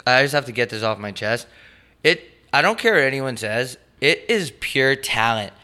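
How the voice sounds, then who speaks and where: A raspy man in his twenties, co-hosting a podcast with crude, joking casual chat. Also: medium pitch, expressive, normal speed, pushed and bright. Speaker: podcast co-host.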